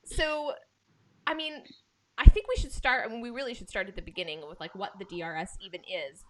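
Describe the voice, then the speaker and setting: A woman's voice: mid-range voice, fairly even intonation, fairly quick, a little hiss or hum, poor audio. A woman from the United States hosting an arts podcast in a casual, enthusiastic and effusive chatting style. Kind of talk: casual chat, introducing guests.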